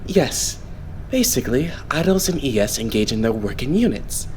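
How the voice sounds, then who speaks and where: A man's voice: mid-range voice, sing-song, a little mellow, close to an everyday voice. Guy doing polite composed idol leader, anime fandub, lilting, faint noise in background. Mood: calm explaining. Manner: polite, explanatory, measured. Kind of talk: anime game fandub acting.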